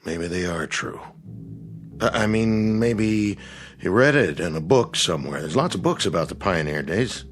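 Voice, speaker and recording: Deep-voiced, kindly diner cook. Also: male voice, animated, normal speed. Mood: puzzled. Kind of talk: radio drama acting.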